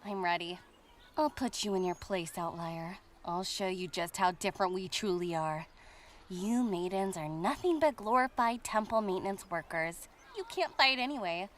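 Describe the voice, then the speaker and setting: A woman's voice: medium pitch, moderate pace, slight background noise, natural voice. A woman playing an arrogant, spiteful rich girl rival with a cutting manner in an anime-style audio drama. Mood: menacing.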